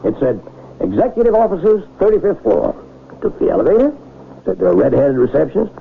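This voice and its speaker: Radio drama casting: plain-spoken, self-deprecating small-town mayor, highish gravelly voice, lively swings in pitch. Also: male voice, brisk, narrow, tinny audio, heavily acted. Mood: modest, matter-of-fact. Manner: folksy, wry, self-deprecating. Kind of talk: old-time radio drama acting. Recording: band-limited, noisy radio drama recording.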